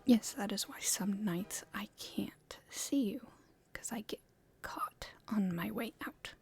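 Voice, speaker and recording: A woman playing a proper young princess in an ASMR roleplay, speaking formally and hesitantly in a soft, breathy half-whisper. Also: mid-range voice, slow pace, faint music behind. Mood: anxious, confiding. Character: proper young princess at her debut, stifled, secretly rebellious.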